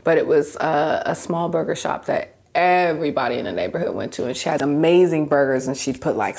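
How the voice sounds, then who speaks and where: A woman from Seattle reminiscing slowly and fondly about neighborhood food spots, her voice often trailing off softly. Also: low-pitched, gravelly. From Seattle, USA. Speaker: community interviewee. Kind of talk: reminiscing interview.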